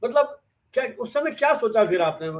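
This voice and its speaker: High-pitched older man interviewing. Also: expressive, normal speed. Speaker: interviewer, host. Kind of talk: warm reminiscing interview in conversational Hindi.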